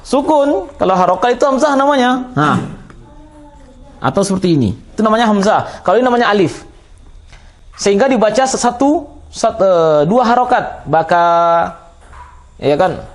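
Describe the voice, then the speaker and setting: A man's voice: high voice, big pitch swings, normal speed. A man with a Minangkabau Indonesian accent teaching a grammar class, explaining step by step and quizzing his listeners. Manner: didactic, repeats and quizzes listeners. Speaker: Islamic teacher of Arabic grammar. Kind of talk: classroom lesson, explaining with questions to students.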